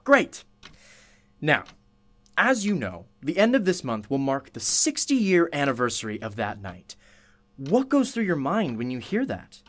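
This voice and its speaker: A man's voice: fairly high pitch, wildly swooping pitch, a little slow, fairly bright tone, full character voice. A man playing a chatty, courteous radio show host interviewing a witness in a radio play, effusive and apologetic. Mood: curious, courteous.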